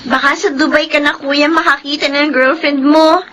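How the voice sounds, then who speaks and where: Sweet, high-pitched youngest Filipino sister. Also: female voice, fairly even intonation, normal speed, a bit bright. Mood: teasing. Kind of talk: Filipino radio drama acting.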